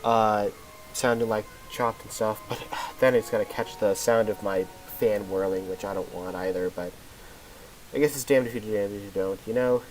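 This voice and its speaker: A man's voice: lowish voice, steady tone, normal speed, darkish tone, faint music behind, rough recording. A young man chatting casually on a game livestream into a headset mic, rambling and self-deprecating, his voice a little off after being sick. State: voice slightly off after a recent illness. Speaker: game streamer.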